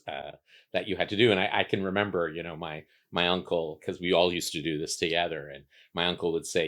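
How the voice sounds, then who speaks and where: A man's voice: sits low, fairly bright tone. A man from Canada whose first language was an Italian dialect, speaking reflectively as an interview guest, with many fillers and restarts. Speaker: guest, architect. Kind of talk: relaxed conversational interview.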